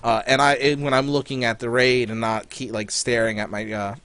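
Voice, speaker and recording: A man's voice: mid-range voice, little pitch movement, brisk, a bit bright, clean tone, dry recording. A man co-hosting a podcast over group voice chat, talking casually and joking around. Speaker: podcast co-host. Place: voice chat for a live online show.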